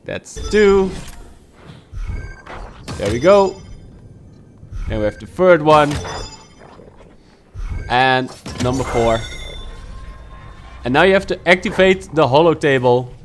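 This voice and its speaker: A man's voice: a little high, slow pace, long pauses, faint music behind. Man explaining game challenges. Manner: steady, instructive explanations. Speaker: gaming guide youtuber. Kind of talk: game walkthrough commentary.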